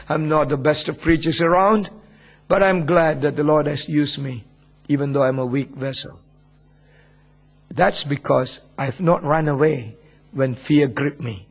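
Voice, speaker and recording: Husky preacher with an Indian accent. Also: male voice, a little high. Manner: humble, earnest, chuckles often. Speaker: pastor. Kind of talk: sermon, personal testimony.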